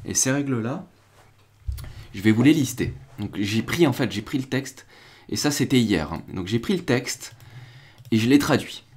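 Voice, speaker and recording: A man's voice: medium pitch, animated, normal speed, pauses often, darkish tone, breathy edge. Casual French-speaking crypto streamer, young man. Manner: rambling, restarts and fillers, chats with viewers. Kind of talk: casual live-stream commentary.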